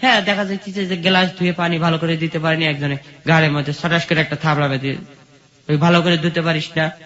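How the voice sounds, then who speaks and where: Male radio guest, Bangladeshi accent, higher-pitched voice, colloquial personal storytelling, dull lo-fi audio. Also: steady tone, fast, a bit bright. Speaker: guest telling his life story.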